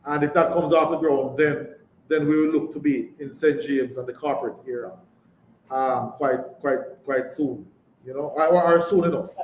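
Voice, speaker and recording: A man's voice: a little high, steady tone, moderate pace, darkish tone. Echoey Jamaican man, company executive. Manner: emphatic, repeats words and phrases. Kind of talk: live earnings call answers. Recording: echoey room, background noise.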